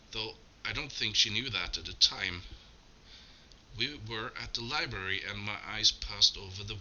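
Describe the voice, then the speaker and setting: A Norwegian man, Norwegian his first language, giving a slow, measured, solemn spoken tribute with small self-corrections. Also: on the low side, bright, forceful tone, a little hiss or hum, low-quality recording. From Norway. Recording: clear music bed behind the voice.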